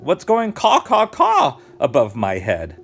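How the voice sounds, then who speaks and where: Man acting startled picture-book neighbour, high swooping pitch, drawn-out sing-song, exaggerated exclamations. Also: huge pitch swings, a bit bright, a little hiss or hum, heavily acted. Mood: startled, exclaiming.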